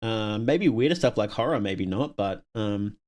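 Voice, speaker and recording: A man's voice: on the low side, animated, moderate pace, darkish tone. Man rambling casually on a livestream. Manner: rambling, musing aloud, trailing off. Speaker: live streamer chatting with viewers. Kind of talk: casual off-the-cuff livestream chat.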